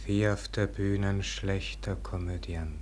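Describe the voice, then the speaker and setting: An actor playing a despairing, self-reproaching man facing Death, speaking a solemn, halting theatrical verse monologue in a radio drama. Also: sits low, fairly even intonation, darkish tone, a little hiss or hum. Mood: hollow resignation.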